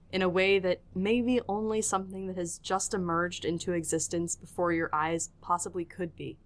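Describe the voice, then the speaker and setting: A woman narrating a scripted audio drama in a storytelling style. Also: medium pitch.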